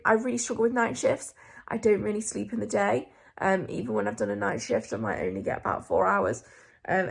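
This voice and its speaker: Young woman, croaky and husky, tired doctor vlogging, chatty with ums. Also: medium pitch. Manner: chatty, tired, filler-heavy. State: croaky with a cough. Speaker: junior hospital doctor. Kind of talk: casual vlog to camera.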